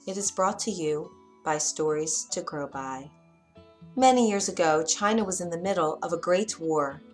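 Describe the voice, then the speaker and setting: A woman narrating a children's bedtime story with a clear, expressive reading. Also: lowish voice, moderate pace, faint music behind.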